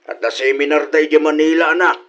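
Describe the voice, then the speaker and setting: A man's voice: a little high, little pitch movement, fairly quick, fairly bright tone, muffled recording, close to an everyday voice. A man playing an easygoing, teasing older husband and father in a comedy radio drama, with an Ilocano accent.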